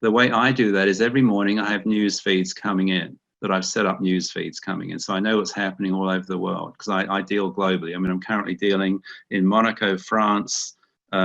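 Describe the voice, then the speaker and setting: Low-voiced New Zealand man, muffled webinar. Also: a bit bright. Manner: conversational, repeats words when restarting. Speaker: guest speaker. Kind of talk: webinar talk. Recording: dull muffled webinar audio.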